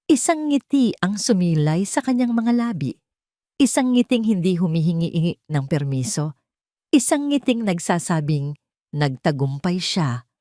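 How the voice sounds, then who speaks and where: A woman narrates a scripted romantic audio drama. Her voice sits fairly low and her delivery is measured and dramatic, recorded very dry. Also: darkish tone, no echo.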